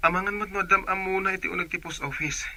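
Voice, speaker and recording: An actor playing an affectionate young boyfriend in a radio drama. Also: male voice, high voice, steady tone, fast, pushed and bright, full character voice.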